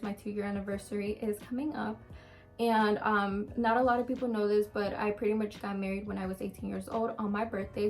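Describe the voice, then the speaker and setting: A woman of about twenty chatting casually to camera, answering viewer questions in a candid, rambling way with many ums. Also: a little high. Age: around twenty. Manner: chatty, frequent ums and restarts, open and candid.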